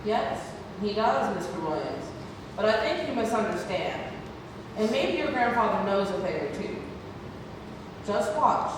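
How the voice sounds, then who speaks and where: A woman at a play table read, playing a kindly, tactful nurse with a polite, measured manner. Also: mid-range voice, very animated. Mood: gently firm. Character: kindly, tactful female nurse, gently firm. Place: large indoor theatre room, far microphone. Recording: distant, echoey hall, noisy room recording.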